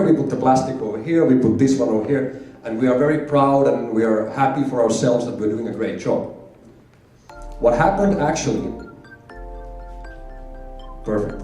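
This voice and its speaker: A man's voice: mid-range voice, warm tone. A man from Finland giving an emphatic conference presentation, repeating key figures for effect. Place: large conference hall. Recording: echoey hall, heard from far off.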